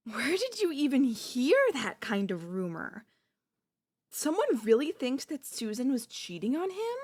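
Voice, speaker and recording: Slow, high-voiced devoted wife acted by a woman. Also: heavily acted. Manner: slow, deliberate, halting delivery with dramatic pauses. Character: devoted wife, shocked then eerily composed, quietly possessive. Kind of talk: ASMR audio roleplay drama.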